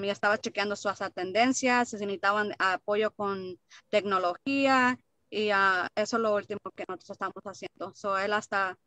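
A woman's voice: mid-range voice, a bit bright, no room sound. Hesitant young woman with a dry, close voice. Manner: hesitant, many fillers, switches into English words. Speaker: school district staff, former colleague. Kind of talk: personal tribute on video call. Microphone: video call.

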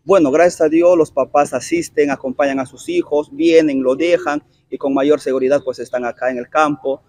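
A man in his thirties with a Peruvian accent, a youth football coach answering an interview on an outdoor pitch, measured and explanatory. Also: fairly even intonation, a little mellow, no room sound. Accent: Peruvian Spanish. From Peru. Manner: measured, explanatory, frequent tag questions. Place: outdoor artificial-turf football field. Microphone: handheld reporter mic held close.

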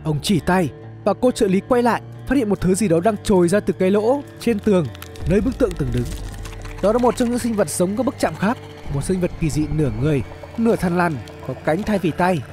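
A man reading a scripted dramatic story as narrator, in an expressive storytelling manner. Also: high-pitched, a little fast. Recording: music bed under the voice. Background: background music.

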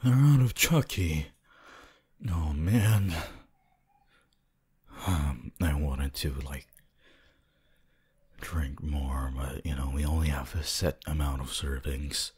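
A man voicing a shy, gentle, apologetic young wolf student in an ASMR roleplay, hesitant, stammering and soft-spoken. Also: extremely low pitch, takes it slowly, long pauses, breathy edge, exaggerated voice. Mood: wistful.

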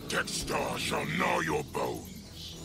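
A man voicing an ancient, raging alien creature in a video game, snarling and grandiose with a gravelly voice. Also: very deep voice, wildly swooping pitch, full character voice. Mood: furious, menacing. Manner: slow, menacing, grandiose. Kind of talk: video game villain acting. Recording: loud music behind.

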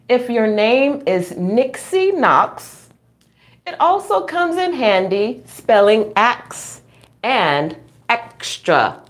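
A middle-aged woman reading a children's book aloud at home, expressive and playful, with chatty asides to young listeners. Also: a little high. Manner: expressive, playful, drawn-out reading, frequent chuckles and gasps. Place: small room at home.

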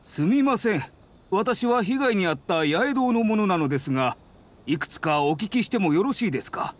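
A man's voice: high-pitched, lively intonation, normal speed. A man playing a polite, anxious editor in an anime game, deferential and formal. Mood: polite concern. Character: polite, anxious male publishing-house editor. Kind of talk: Japanese anime game voice acting. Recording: background music behind voice.

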